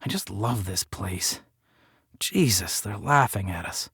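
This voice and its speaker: A man's voice: fairly low pitch, lively intonation, takes it slowly, pushed and bright, slightly rough, no echo, studio quality, pitched below the performer's own voice, heavily acted. A man playing a sardonic, moody young working man who narrates his own audio story, drifting between muttering and bitterness. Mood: bitter, sardonic.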